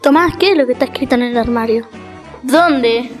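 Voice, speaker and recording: Radio drama schoolchild female performer: high voice, excitable, easily spooked, music underneath. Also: moderate pace, close to an everyday voice. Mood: uneasy. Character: excitable, frightened schoolchild on a sleepover. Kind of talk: radio play acting.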